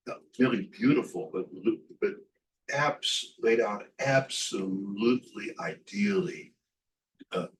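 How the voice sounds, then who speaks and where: Halting man speaking from far off. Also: lowish voice. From Los Angeles, California. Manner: halting, repeats words when starting. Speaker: poet. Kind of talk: book event talk and reading.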